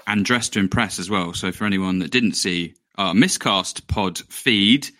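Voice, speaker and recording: Bright, teasing man. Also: deep voice, measured pace. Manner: teasing, upbeat, introduces guests. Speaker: podcast co-host. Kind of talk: casual chat.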